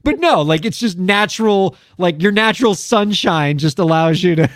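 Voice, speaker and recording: A man hosts a radio interview show and speaks with gushing enthusiasm. His pitch sits a little high and swings widely. The sound is dry and close, with no room echo. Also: moderate pace. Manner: enthusiastic, animated and effusive. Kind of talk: casual interview chat.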